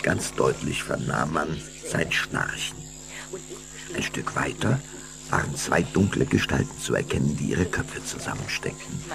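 A man's voice: very deep voice, sing-song, measured pace, gravelly, exaggerated voice. A man narrating a German children's vampire audio play as a suspenseful, dramatic storyteller. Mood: hushed suspense. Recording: music behind the voice.

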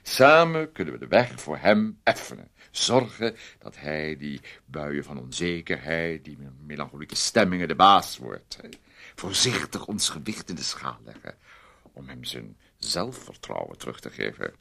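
A man acting in a Dutch radio play as a smooth, condescending figure who needles and insinuates in an unctuous, mocking way. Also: rumbling low, sing-song, a little slow, bright, forceful tone, heavily acted. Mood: unctuous, patronising.

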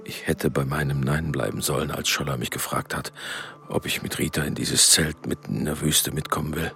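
A man's voice: very deep voice, steady tone, brisk, vocal fry, pushed and bright, full character voice. An actor playing a reserved middle-aged engineer and protective father in a radio drama, speaking in a hesitant, brooding way. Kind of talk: radio drama acting with inner monologue.

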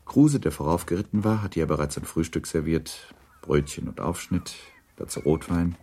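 A man narrating a literary radio drama in a measured storytelling manner. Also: extremely low pitch, warm tone.

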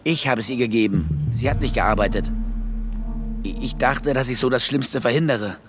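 An actor playing a defensive, bereaved brother, a stadium caretaker, guarded and curt in a radio drama. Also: male voice, medium pitch, moderate pace, music in the background, natural voice. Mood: shaken, defensive. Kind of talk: German radio drama acting.

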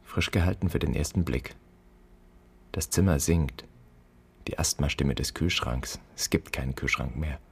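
A man acting an anguished son haunted by his father's guilt, speaking in deliberate, poetic, questioning fragments in a radio drama. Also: extremely low pitch, vocal fry, slightly rough, heavily acted. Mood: brooding, haunted. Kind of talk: stylized German radio drama monologue.

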